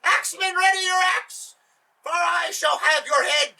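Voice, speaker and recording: A man voicing a pompous, furious sultan who shouts his commands, recorded from a distance. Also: high voice, very animated, measured pace, slightly rough, heavily acted. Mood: raging. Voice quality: shouting, imperious.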